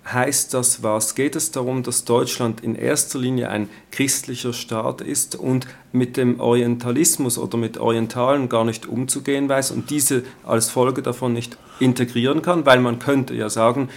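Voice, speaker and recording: Gravelly male interviewer. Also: medium pitch, flat delivery. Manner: probing, measured questioning. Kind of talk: interview questions.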